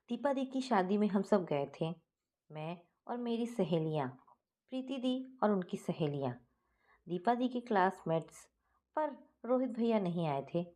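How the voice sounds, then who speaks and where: A woman's voice: mid-range voice, dark tone. A woman reading a scripted first-person family story as its narrator.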